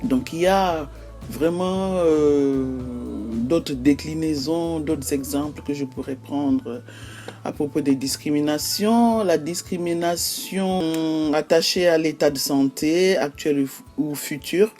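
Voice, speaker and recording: Woman, Cameroonian accent, vlog host, slow deliberate pace, hesitant fillers, faint background music. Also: low voice, mellow. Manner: measured, lists examples one by one with frequent hesitations. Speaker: YouTube host. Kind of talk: explanatory vlog talk.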